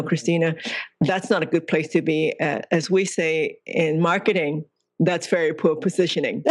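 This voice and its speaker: A woman's voice: sits low, no room sound. A woman from Hong Kong, a guest author in an interview, speaking in a reflective, earnest way and laughing lightly now and then. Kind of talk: interview conversation.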